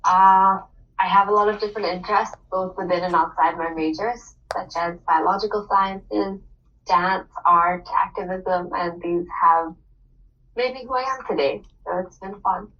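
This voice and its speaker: A woman's voice: lowish voice, moderate pace, slight background noise. A young woman from Hong Kong, a university student and research assistant, chatting upbeat about her program. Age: young adult. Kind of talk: casual self-introduction. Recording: distant, dull online call audio.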